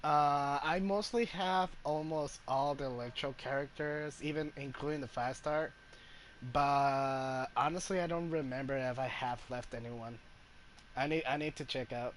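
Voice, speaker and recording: A man giving casual live commentary as a game streamer, chatty and a little halting. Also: mid-range voice. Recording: faint background noise.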